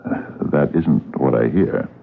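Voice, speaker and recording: An actor in a radio drama playing a middle-aged police captain, gravelly and halting as he patiently probes with questions. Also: a bass voice, exaggerated voice. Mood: awkward, persistent. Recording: muffled, band-limited old radio broadcast.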